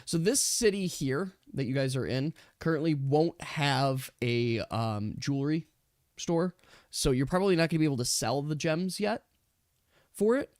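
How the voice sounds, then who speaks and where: A man working as game master, narrating a tabletop game casually and thinking aloud in a halting way. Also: fairly high pitch, expressive, darkish tone, no room sound, studio quality.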